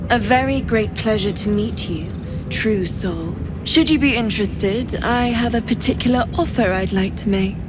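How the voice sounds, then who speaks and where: An actress playing a silky, scheming dark-elf occult trader with a measured, formal sales manner in a fantasy game. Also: female voice, mid-range voice, heavy background noise, close to an everyday voice. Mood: smooth, intrigued.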